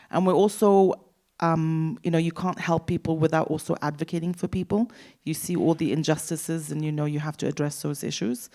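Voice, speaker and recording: A woman with an English accent, a nonprofit director introducing herself on a panel in a measured, reflective way. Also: sits low. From Syria. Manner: measured, reflective, with soft fillers.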